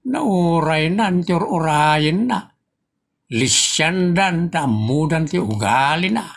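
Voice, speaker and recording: An exasperated family father acted by a man in a comedy radio play, with a northern Philippine accent. His fairly high voice is full of gravelly creak, and he scolds slowly and with emphasis. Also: lively intonation, exaggerated voice. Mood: grumbling. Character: exasperated, admonishing husband and father. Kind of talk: Ilocano comedy radio drama acting.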